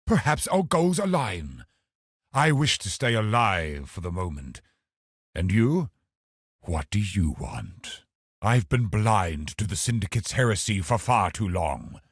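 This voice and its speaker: A cold, scheming religious officer of a video game villain. The voice is very deep and rough-edged, with slow, formal, calculating delivery that swings widely in pitch. It is recorded close and dry. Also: male voice, big pitch swings, dry recording, full character voice. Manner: measured, haughty, calculating, formal. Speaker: game boss character. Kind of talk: dramatic video game voice acting.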